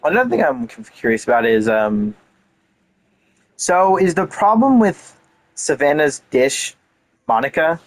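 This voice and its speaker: A man giving casual, informal play-through commentary as a game streamer, animated and incredulous. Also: a little high, unhurried, pauses often, low-quality recording.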